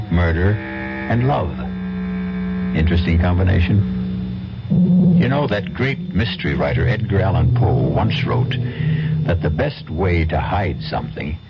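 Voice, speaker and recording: A man hosting a radio mystery anthology, introducing the story in a measured, theatrical way. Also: low voice. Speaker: anthology host and narrator. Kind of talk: scripted radio host introduction. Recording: lo-fi 1970s radio broadcast with music.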